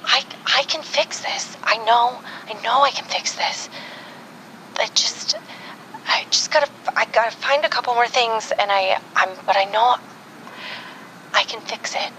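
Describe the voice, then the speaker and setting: A woman playing a rattled but determined agent in an improvised audio drama, muttering haltingly and breathlessly to herself. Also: on the high side, sharp tone, rough recording. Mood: anxious resolve. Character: rattled, determined female agent recording a log. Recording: vehicle-like ambient noise behind.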